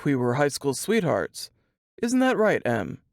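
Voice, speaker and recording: Terse male detective voiced by a female narrator, creaky low-key audiobook dialogue, close dry sound. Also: sing-song, unhurried, a little mellow, no room sound, full character voice. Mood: restrained. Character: male police detective, an old high school sweetheart.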